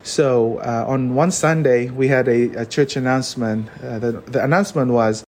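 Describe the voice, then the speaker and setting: A young man from Fiji with a Fijian accent, a podcast guest telling his story earnestly with many pauses. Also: mid-range voice, a little mellow. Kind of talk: personal storytelling.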